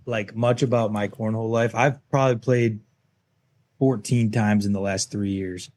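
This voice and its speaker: A man's voice: on the low side, steady tone, normal speed, darkish tone. A man chatting casually as a podcast guest, laid-back and slangy, often putting himself down. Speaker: podcast guest, pro cornhole player. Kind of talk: casual chat.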